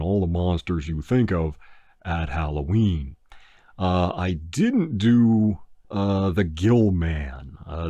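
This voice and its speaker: A man's voice: deep voice, warm tone, no echo, highs cut off. A man hosting his own channel, chatting casually in a rambling, laid-back way with lots of ums and uhs. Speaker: movie review channel host. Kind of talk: casual podcast-style chat.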